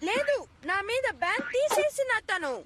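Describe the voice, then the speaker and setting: A woman voicing an excitable, determined young boy hero in a dubbed children's cartoon, with dramatic, exclamatory delivery. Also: very high voice, moderate pace, roomy sound, recorded from a distance, noisy background. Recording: loud music behind the voice.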